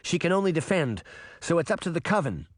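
A man's voice: on the high side, very animated, brisk, no room sound, clean studio sound, voice pushed up. A man voicing an eager, plainspoken little girl. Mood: explaining.

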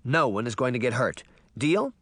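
A man's voice: medium pitch, very animated, normal speed, fairly bright tone, no echo, studio quality. Man reading as a bold, decisive girl. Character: bold, bossy young girl taking charge. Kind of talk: audiobook character dialogue.

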